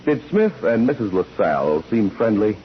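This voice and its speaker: Deep-voiced, probing courtroom examiner. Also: male voice, very animated. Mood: businesslike. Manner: formal, deliberate questioning. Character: probing, formal, relentless male courtroom examiner. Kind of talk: 1940s radio courtroom drama acting. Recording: muffled, noisy old 1940s radio recording.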